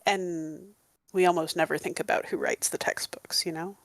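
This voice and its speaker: A woman who teaches history, talking in a casual panel discussion, animated and full of filler words. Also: lowish voice, moderate pace, no room sound. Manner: animated, lots of "like" and restarts.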